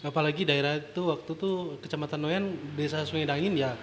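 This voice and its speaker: A man's voice: a little high, steady tone, fairly quick. A man, chatting casually as an interviewer. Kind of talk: casual chat. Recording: noticeable background noise.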